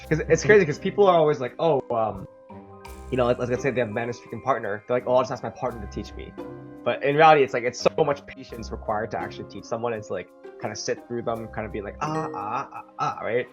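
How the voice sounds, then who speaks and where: A man's voice: medium pitch, fast talker, dull audio, soft background music. A man interviewing a student, friendly and chummy, calling him "man" and "dude". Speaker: interviewer for a language program. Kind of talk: casual interview.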